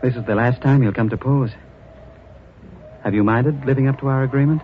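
A man acting an unhinged, wistful sculptor with eerie courtesy in a 1940s radio horror drama, halting and theatrical. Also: mid-range voice, steady tone, natural voice. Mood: courteous, ominous. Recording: muffled old radio with faint hiss.

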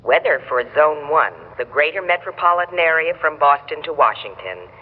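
An actor playing a formal, measured weather announcer heard through a telephone filter in a radio drama. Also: male voice, on the high side, expressive. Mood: impersonal. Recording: noisy tinny phone line.

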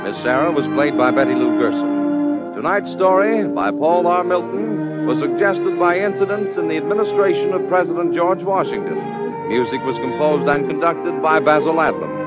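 A male announcer reading closing credits in a crisp, formal 1940s radio style. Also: a little high, lively intonation, moderate pace. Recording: dull vintage radio recording with music.

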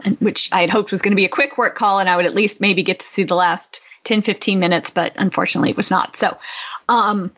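A woman's voice: medium pitch, fairly bright tone, lo-fi sound. A woman co-hosting a football podcast in a casual, conversational style. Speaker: podcast co-host.

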